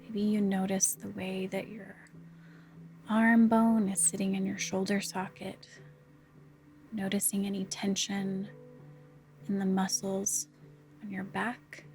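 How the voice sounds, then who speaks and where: A woman leading a guided meditation, speaking in a soft, calm, unhurried and soothing way. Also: mid-range voice, vocal fry, faint music behind. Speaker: counselor guiding a body scan meditation.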